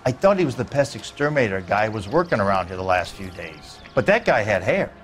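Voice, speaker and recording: An actor playing a grudging, folksy American neighbor being questioned as a witness in a video game drama. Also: male voice, lowish voice, very animated, quick pace, soft background music. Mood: recollecting. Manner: folksy, offhand, defensive. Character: evasive, grudging male suburban neighbor and witness.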